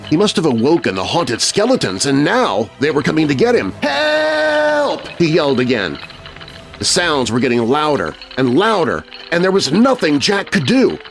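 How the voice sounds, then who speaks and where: A male storyteller delivers spooky tales for children with theatrical suspense. His pitch swings expressively from line to line, and music plays behind him. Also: big pitch swings, moderate pace. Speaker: narrator. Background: music bed.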